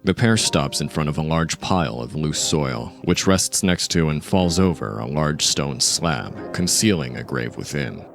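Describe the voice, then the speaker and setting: A man narrating a dark audio drama in a measured, literary storytelling manner. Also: a bass voice, animated, gravelly, faint music behind. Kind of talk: scripted narration of dark fiction.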